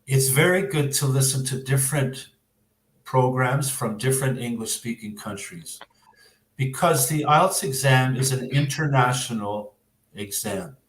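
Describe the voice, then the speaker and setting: Slow-talking Canadian man, echoey room. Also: mid-range voice. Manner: measured, hesitant, pausing often. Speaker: English teacher giving exam advice. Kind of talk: explanatory interview answers. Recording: roomy, echoing sound.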